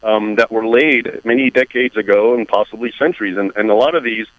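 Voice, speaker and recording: Low-voiced male guest on a dull line. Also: no echo. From United States. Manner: measured, explanatory, emphatic lists. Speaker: author and guest commentator. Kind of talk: radio interview.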